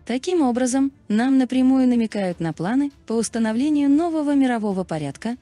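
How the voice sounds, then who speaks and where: A woman narrating a scripted video in a measured, explanatory manner. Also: high-pitched, animated, music in the background.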